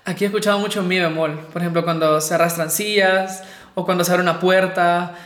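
A man's voice: sits high, flat delivery, a little fast, fairly bright tone. A man with a Honduran accent, a singer, talking casually and reflectively as an interviewee in an echoey room.

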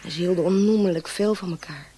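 An actress playing a dreamy, romantic young woman in love in a radio drama, wistful and often hushed and breathy. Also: on the low side, fast. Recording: clear outdoor nature noise.

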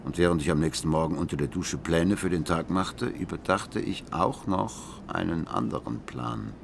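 An actor playing an embittered, betrayed husband who confides his marriage story in a deliberate, brooding way in a radio drama. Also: sits low, vocal fry, noisy background. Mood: cold, bitter. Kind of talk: radio drama monologue, intimate narration.

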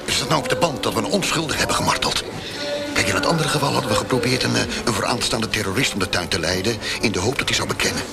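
A man's voice: medium pitch, quick pace, creaky, over loud music, lightly acted. An actor playing an earnest, argumentative interrogator in a radio drama, insistent and needling as he reasons.

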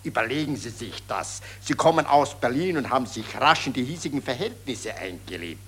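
An actor playing a sly, gossipy journalist in a 1940s German radio play. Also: male voice, mid-range voice, lively intonation, fairly quick, a bit bright, husky. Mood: insinuating. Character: sly, gossipy male Viennese journalist giving veiled threats.

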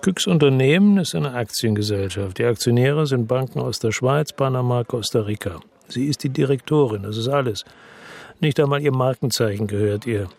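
A bitter tired investigator in a radio crime play, gravelly n husky, dry and terse. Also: male voice, mid-range voice, normal speed, darkish tone. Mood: matter-of-fact. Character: world-weary, bitter male crime investigator telling his story. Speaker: first-person narrator and lead character in a radio drama. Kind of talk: German radio drama narration and dialogue.

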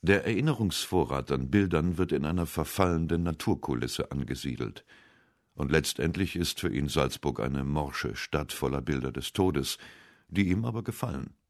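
A man narrating a scripted literary radio essay with measured, deliberate phrasing. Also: rumbling low, lively intonation, no room sound, clean studio sound. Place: dry radio studio.

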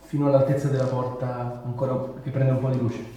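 A man's voice: medium pitch, little pitch movement, brisk, warm tone. A man giving casual spoken directions, hesitantly repeating his words as he goes. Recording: very echoey room, distant mic.

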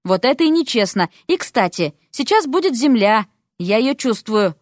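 Woman voicing a sharp-tongued, high-pitched bossy young frog. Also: big pitch swings, normal speed, dry recording, studio quality. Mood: scolding, exasperated. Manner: scolding, sarcastic, blunt.

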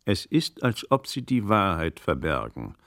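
An actor playing a troubled, learned magistrate in a German radio play, gravelly, with measured, brooding, literary speech. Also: male voice, deep voice, very animated, a bit bright, dry recording, studio quality, full character voice. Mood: brooding, weary.

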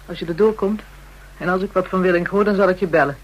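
A woman's voice: fairly low pitch, fairly even intonation, fast talker, highs cut off, natural voice. An actress playing a coarse, brazen, spiteful call girl in a radio drama, talking in crude, taunting slang. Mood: taunting, grudging.

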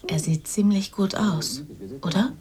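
A woman's voice: mid-range voice, measured pace, crowd noise, natural voice. An actress playing a naive, dreamy young woman in a radio drama, speaking in an earnest, artless and insistent way. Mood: shy, hushed. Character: naive, dreamy, poor young woman, eager and literal-minded. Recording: studio radio drama with background ambience.

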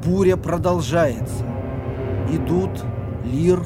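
A man's voice: fairly high pitch, slow pace. A man narrating scripted stage directions for an audio play. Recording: loud dramatic music behind.

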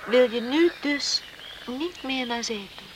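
An actress playing a loving, emotional young village sweetheart in a radio play, with tender, exclaiming delivery. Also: female voice, high voice, slow. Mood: anxious, tender. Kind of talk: Dutch radio play acting. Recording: noisy old radio play recording.

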